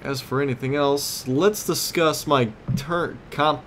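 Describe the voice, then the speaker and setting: A man's voice: a little high, steady tone, a little slow, a bit bright. Rambling man over noisy game audio. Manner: rambling, loses his train of thought. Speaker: host of a gaming video series. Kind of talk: casual gameplay commentary.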